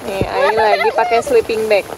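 A woman's voice: mid-range voice, fairly even intonation, normal speed, very noisy. A woman filming her family on a camping trip, chatting casually and laughing often into a handheld phone. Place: tent and campsite outdoors.

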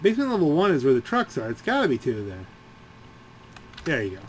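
A man with a slightly higher-pitched voice talks casually as he plays a video game. He rambles and halts, working out his thoughts aloud. Some noise sits behind his voice. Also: wildly swooping pitch. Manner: halting, rambling, thinking aloud. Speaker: gaming video creator. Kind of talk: rambling casual gameplay commentary.

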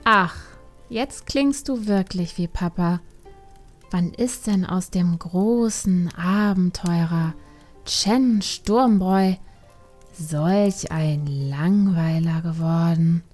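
A woman's voice: on the low side, slow pace, darkish tone, soft background music. A woman playing a restless, defiant young panda adventurer in a dramatised fantasy audiobook, emotional and reproachful. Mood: reproachful, angry.

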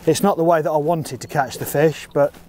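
Higher-pitched middle-aged man outdoors. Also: steady tone, fairly quick, darkish tone. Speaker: competition angler presenting a fishing film. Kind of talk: casual instructional commentary. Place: open boat on a lake, outdoors. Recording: outdoor water and boat noise.

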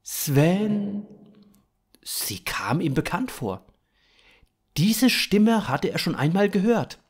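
Slow, animated male storyteller. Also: high voice, husky. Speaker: narrator. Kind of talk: scripted fiction narration.

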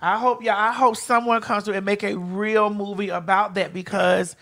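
A woman's voice: mid-range voice, steady tone, moderate pace, fairly bright tone. A middle-aged American woman hosting a talk show, chatting casually and comically in a sassy, emphatic, profane way on a close studio mic. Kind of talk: casual comedic chat. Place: small studio. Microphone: close podcast microphone on boom arm.

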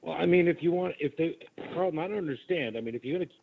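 A man's voice: on the high side, quick pace, gravelly. A man calling in to a meeting as a committee member, speaking informally. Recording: tinny phone line.

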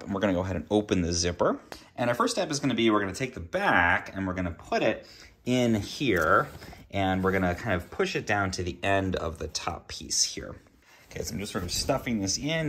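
A man hosting a casual how-to video at home, explaining each step in a relaxed way while handling objects in a kids' playroom, filming with a phone. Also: low voice, slightly rough. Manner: relaxed and explanatory, with fillers and small laughs. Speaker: DIY video host.

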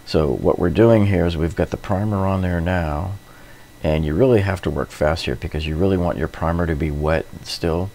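A man's voice: deep voice, moderate pace, a little mellow, a little hiss or hum. A middle-aged man narrating a hands-on renovation tutorial in an informal, explanatory way, often repeating himself. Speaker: DIY renovation host.

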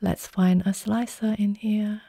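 Slow, mellow woman explaining software. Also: mid-range voice, gravelly, no echo. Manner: calm step-by-step instructions, slow deliberate pacing. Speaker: software tutorial presenter. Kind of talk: screen-recorded software tutorial.

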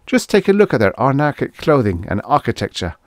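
A man's voice: medium pitch, very animated, brisk, dry recording, studio quality, pitched above the performer's own voice, natural voice. A man voicing an alarmed spaceman in a science fiction story, pleading urgently. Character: urgent, alarmed male spaceman warning of danger.

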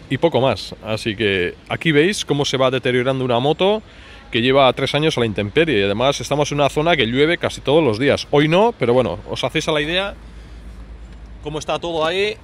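A man's voice: on the high side, fairly bright tone. A man, giving chatty, colloquial vlog commentary outdoors. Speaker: motorcycle vlogger. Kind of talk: casual off-the-cuff vlog commentary. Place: outdoors in a street parking area. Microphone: handheld phone or camera, speaker behind it. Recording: noisy outdoor urban street.

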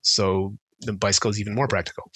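A man's voice: lowish voice, fairly quick, slightly rough, no room sound, low-quality recording. Casual middle-aged guy from israel on a headset, lots of ums and restarts, dry close sound. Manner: relaxed, thoughtful, many fillers and restarts. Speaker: guest, transportation consultant. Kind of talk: casual podcast chat. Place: home office with bookshelves. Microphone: headset mic on video call.

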